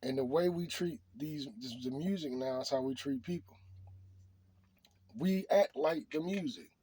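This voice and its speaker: A man's voice: fairly high pitch, unhurried, warm tone, breathy edge. A middle-aged man talking off the cuff in an opinion monologue, preachy and emphatic, often repeating his phrases.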